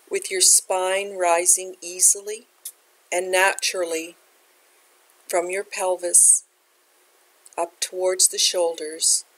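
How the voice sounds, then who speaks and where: A woman leading a guided meditation as a teacher, speaking in a gentle, unhurried, measured way. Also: fairly low pitch, rough recording.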